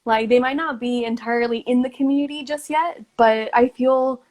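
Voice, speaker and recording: A woman's voice: a little high, steady tone, moderate pace, darkish tone. A woman hosting a podcast, talking casually and reflectively in a supportive, informal way peppered with "like". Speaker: podcast host.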